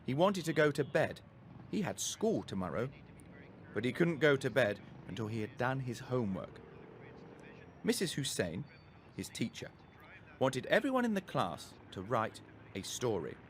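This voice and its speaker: A man narrating a scripted children's story as a storyteller, expressive and deliberate, with faint vehicle noise behind him. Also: medium pitch.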